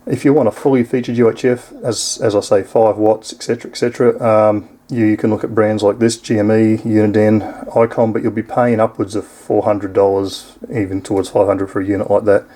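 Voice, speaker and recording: A man's voice: fairly low pitch, level tone, brisk, hardly any pauses, mellow. A man with an Australian accent giving a casual, unscripted product demonstration in an easygoing, explanatory way. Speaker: product reviewer.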